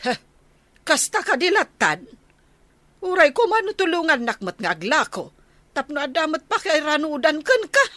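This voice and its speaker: Radio drama role: actress as nagging female vendor, highish sharp voice, close and dry. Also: very animated, moderate pace, dry recording. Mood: teasing, complaining. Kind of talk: Ilocano radio drama acting.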